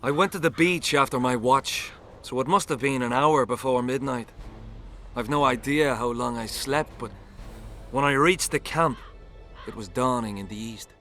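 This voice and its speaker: A man's voice: mid-range voice, normal speed, pushed and bright, soft background music, lightly acted. An actor playing a shaken, embittered common soldier in a video game, telling his story bluntly and dramatically. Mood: weary. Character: shaken, embittered low-ranking soldier, humiliated and haunted.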